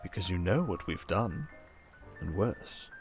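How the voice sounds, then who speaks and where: A man playing a suave, manipulative criminal mastermind in an intimate ASMR roleplay, with a coaxing, condescending, theatrical delivery full of drawn-out pauses. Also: low-pitched, animated. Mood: probing, smug. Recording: music behind the voice. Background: music.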